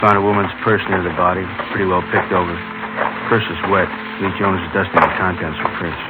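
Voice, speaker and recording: Guy doing weary cop at a crime scene, lowish voice, terse, old radio play w loud music. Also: flat delivery, fairly quick, close to an everyday voice, rough recording. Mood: matter-of-fact. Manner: terse, matter-of-fact. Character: plain-spoken, weary male police officer at crime scene. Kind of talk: 1950s radio drama acting.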